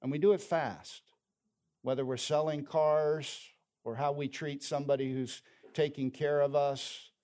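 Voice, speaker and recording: A man's voice: on the high side, steady tone, a little slow, a little mellow. A man preaching a sermon, telling a story and quoting scripture with emphasis. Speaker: pastor.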